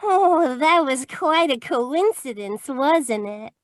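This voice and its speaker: Old-time radio secretary: sweet, wide-eyed, adoring, swooping melodic lines, dry sound. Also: female voice, high voice, animated, slow, dry recording. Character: Effie, sweet, naive, adoring young female detective's secretary. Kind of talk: 1940s radio comedy-drama acting.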